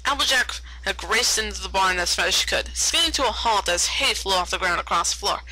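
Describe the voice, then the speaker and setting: A woman's voice: medium pitch, lively intonation, fairly quick, sharp tone, dry recording, low-quality recording. A woman narrating fan fiction aloud expressively, often stumbling over and repeating words.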